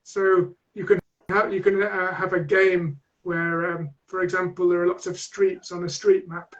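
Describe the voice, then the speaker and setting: A man's voice: high-pitched, level tone, moderate pace, muffled recording. A man with a Manchester accent, a teacher trainer answering interview questions in a thoughtful, hesitant way with many restarts. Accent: Manchester English.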